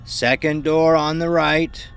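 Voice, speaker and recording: A smug bandaged old villain taunts with a raised, slow, drawn-out voice, as a male narrator puts him on. Every word drips with condescension. Soft music plays beneath the storybook reading. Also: a little high, level tone, gravelly, clean tone, voice pushed up, full character voice. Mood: taunting. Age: older. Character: sinister elderly bearded man, face bandaged, smug. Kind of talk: audiobook character voice.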